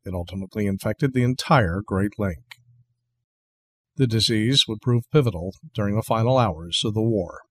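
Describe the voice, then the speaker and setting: A man's voice: fairly low pitch, moderate pace. A man giving scripted narration as the announcer of an audio drama. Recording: music behind the voice.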